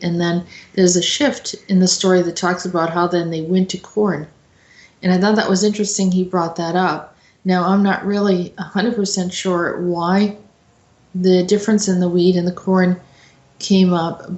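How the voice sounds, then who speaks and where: A woman's voice: on the low side, a little mellow. Woman commenting informally in echoey room. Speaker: host and commentator. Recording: roomy, echoey sound.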